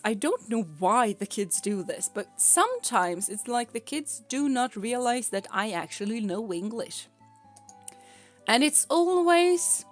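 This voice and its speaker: Woman, Swedish accent, native Swedish speaker, unhurried, giggly rambling stream chat, faint music behind. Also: a little high. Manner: rambling and giggly, often laughing mid-sentence. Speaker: VTuber streamer.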